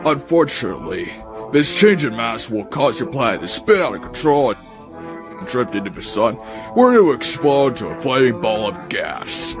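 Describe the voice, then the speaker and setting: Pompous alien villain, acted by a man, scheming, condescending, oratorical, lively intonation, cartoon game style, prominent music. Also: medium pitch, moderate pace. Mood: smug, grandiose.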